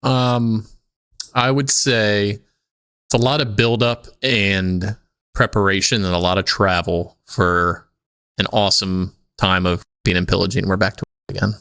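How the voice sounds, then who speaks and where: A man with a low voice trades casual, playful banter as a comedy podcast co-host. He swears freely, and the sound is dry and close, with little room in it. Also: steady tone, a little slow, fairly bright tone, clear, resonant tone, no room sound.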